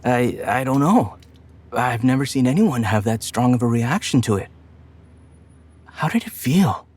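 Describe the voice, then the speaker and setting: A husky, soft-spoken young male animal played by a man at a middle pitch. He is a sweet grown son in a motion comic, earnest and gently hesitant when he talks. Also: lively intonation, unhurried. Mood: concerned, curious.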